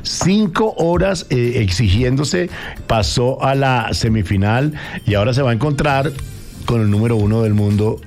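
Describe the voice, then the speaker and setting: A man hosting a morning radio show, chatting about sports in an animated way and speaking straight to listeners. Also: mid-range voice, moderate pace. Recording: music bed behind the voice. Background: background music.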